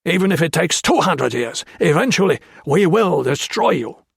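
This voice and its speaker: A menacing wartime camp officer snarls his threats, voiced by a male narrator. The voice is pushed high and gravelly, with a husky edge. Recorded close and dry, like a studio audiobook. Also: sing-song, normal speed, no echo, heavily acted. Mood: snarling, menacing.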